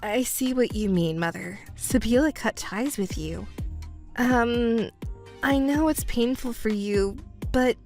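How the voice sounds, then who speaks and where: A woman voicing a cold, calm young stepdaughter. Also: a little high, slow, soft background music. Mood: cool. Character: cool, composed young stepdaughter. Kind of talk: narrated drama dub.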